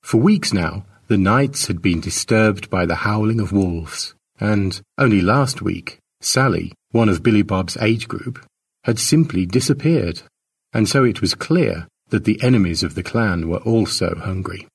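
Husky low-voiced man reading a story. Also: expressive, a little slow, far from the mic. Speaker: author narrating own short story. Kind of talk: expressive audiobook narration.

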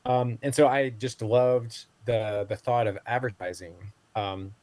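A man's voice: medium pitch, steady tone, measured pace, darkish tone, dry recording. A man in his forties, a podcast guest, talking casually with many fillers and restarts. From Southeast Asia. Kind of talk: casual interview talk.

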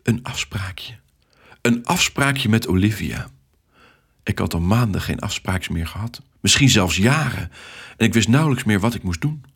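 A male storyteller with a lowish, husky voice narrates a children's tale in the first person. The delivery is scripted storytelling, told as the main character's own account. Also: expressive, moderate pace, a bit bright.

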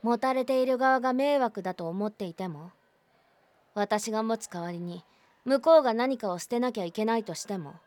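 A woman's voice: on the high side, normal speed, darkish tone, no room sound, lightly acted. A woman doing a curt, sarcastic schoolgirl. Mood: cold. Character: blunt, prickly teenage schoolgirl. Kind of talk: voice drama acting.

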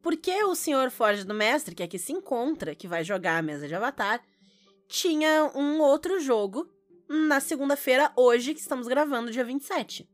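A woman's voice: high voice, expressive, normal speed, faint music behind. A Brazilian woman co-hosting a podcast, chatting casually in a jokey, teasing way. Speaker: podcast co-host. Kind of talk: casual bantering chat.

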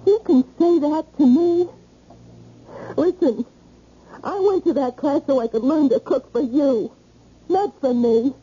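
A woman's voice: high-pitched, a little slow, pauses often, mellow. An actress playing a hurt, insistent young woman in love, confronting her boyfriend emphatically in an old-time radio drama. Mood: hurt, indignant. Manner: emphatic, accusing, plain-spoken. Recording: muffled old radio recording.

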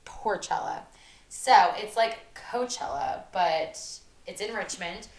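A woman's voice: medium pitch, slow pace. Chatty woman in an echoey room. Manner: chatty, uses "like" often. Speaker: podcast co-host. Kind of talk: casual chat.

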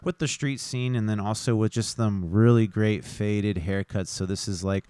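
Chatty male podcast host from Missouri, low smooth voice. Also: steady tone, moderate pace, warm tone, no room sound. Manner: upbeat, chatty, lots of "right, right". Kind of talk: casual podcast hosting. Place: barbershop.

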